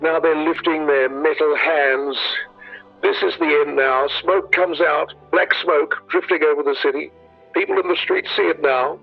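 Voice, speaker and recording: A man's voice: a little high, fairly even intonation, normal speed, creaky. Man acting a shaken radio reporter, tinny sound. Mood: grim, alarmed. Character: shaken radio reporter watching the invasion of London. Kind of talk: 1930s radio drama acting, eyewitness news bulletin. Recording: tinny band-limited radio sound, music.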